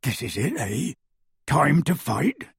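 A man voicing a huge bearded half-giant gamekeeper who talks in rough, clipped country speech and booms his words. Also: big pitch swings, slow, dry recording, clean studio sound, exaggerated voice. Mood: gruff. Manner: rustic dropped-word speech, booming.

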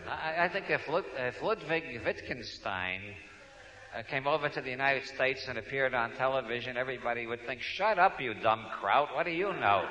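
A man's voice: medium pitch, sharp tone. A man with a New York accent giving a humorous talk to an audience, wry and digressive, restarting his sentences often. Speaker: speaker. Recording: dull lo-fi recording.

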